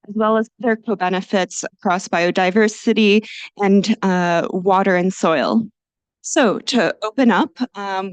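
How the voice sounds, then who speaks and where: A young woman moderating a panel discussion in a measured way, with some fillers. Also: mid-range voice, clean tone, no echo.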